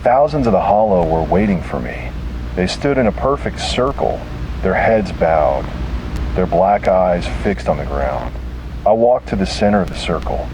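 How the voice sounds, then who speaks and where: A man reading a scripted first-person horror story in a solemn, measured manner with short, deliberate phrases. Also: sits low, background noise. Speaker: narrator.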